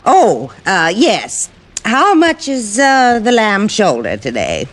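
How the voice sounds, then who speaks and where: A woman's voice: medium pitch, wildly swooping pitch, takes it slowly, heavily acted. An actress playing a polite, puzzled older lady customer in an old radio drama, with a fluttery, questioning manner. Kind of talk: 1940s-50s radio drama acting. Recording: old-time radio recording.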